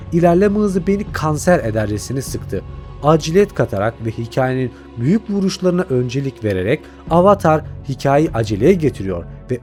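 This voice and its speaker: Lively, higher-pitched man narrating a video essay. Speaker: video channel host and reviewer.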